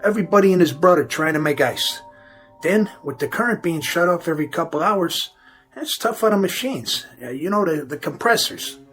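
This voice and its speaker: A man's voice: fairly high pitch, animated, fairly bright tone, faint music behind. Folksy working-class New repairman. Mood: apologetic, weary. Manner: folksy, halting, colloquial. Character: working-class repairman, tired, decent, apologetic. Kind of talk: radio drama acting.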